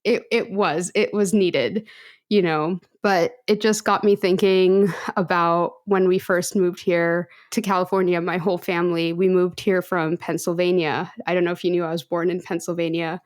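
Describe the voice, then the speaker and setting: A woman's voice: on the low side, level tone, moderate pace. A woman co-hosting a podcast in casual chat, rambling and laughing often. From United States. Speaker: podcast co-host.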